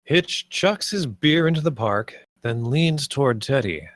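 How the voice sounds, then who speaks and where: A man's voice: medium pitch, expressive, measured pace, no room sound. A man narrating stage directions at a live script table read.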